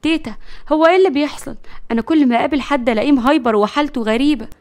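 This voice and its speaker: Woman as young Egyptian granddaughter, innocent, puzzled, high-pitched, quick-spoken, audio story. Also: darkish tone. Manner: innocent, questioning.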